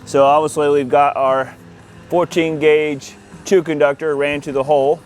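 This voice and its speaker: A man's voice: little pitch movement, normal speed, soft background music. A man hosting a casual hands-on walkthrough outdoors, explaining things informally as he goes. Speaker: host of an installer's video channel. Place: outdoors in a yard. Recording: outdoor noise, ambient rumble.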